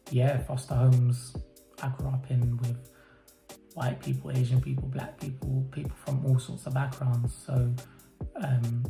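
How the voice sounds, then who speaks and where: Man from the UK, interviewee; flat, measured, hesitant delivery in a roomy space. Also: medium pitch, vocal fry. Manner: hesitant, thoughtful, many pauses and fillers. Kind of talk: reflective personal interview answers. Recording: roomy echo, faint music behind.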